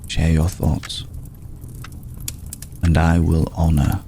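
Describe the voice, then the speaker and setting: A man voicing an ancient, courtly, protective vampire lord in a hushed ASMR roleplay, speaking formally and with deliberate pauses. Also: a bass voice, completely flat delivery, a little hiss or hum, heavily acted. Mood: gentle, earnest. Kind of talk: ASMR roleplay, slow intimate scripted monologue.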